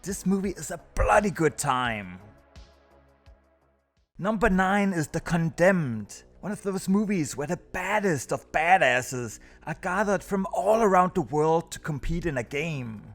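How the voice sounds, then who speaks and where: A man with a fairly high voice hosts a scripted countdown review. He narrates as a video presenter, with music playing underneath. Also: animated, measured pace.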